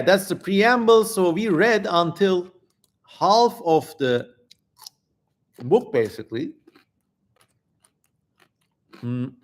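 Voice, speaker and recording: A man speaks as the host of a casual livestream. His voice sits at a medium pitch. He talks informally, with a wry edge, and drifts into asides as he goes.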